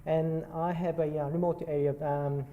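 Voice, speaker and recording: A man with a Korean accent giving a conference talk, halting and self-deprecating with many fillers. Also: fairly high pitch, flat delivery, narrow, tinny audio, a little hiss or hum. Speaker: nurse presenter. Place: conference hall. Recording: reverberant hall, faint background ambience.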